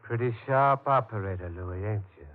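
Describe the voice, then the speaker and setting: A man's voice: lowish voice, normal speed, close to an everyday voice. An actor playing a blunt, menacing, wisecracking American gangster henchman in an old-time radio drama. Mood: suspicious, bossy. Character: gruff, menacing, suspicious male gangster henchman. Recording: tinny, noisy old radio recording.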